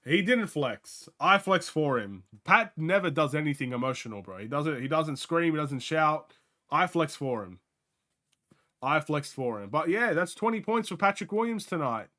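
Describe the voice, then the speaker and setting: A young man from Australia with a light Australian accent, hosting a live game watchalong in casual, excitable play-by-play chat, often laughing. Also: a little high, normal speed.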